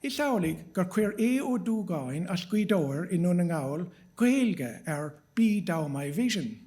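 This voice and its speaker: A man with an Irish accent formally introducing performers at an event in measured, prepared remarks. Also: sits high, big pitch swings, mellow. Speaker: master of ceremonies introducing performers.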